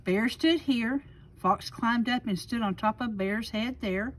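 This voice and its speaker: A woman reading a children's storybook aloud expressively, with deliberate dramatic pauses. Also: fairly high pitch, a little hiss or hum. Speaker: library storyteller.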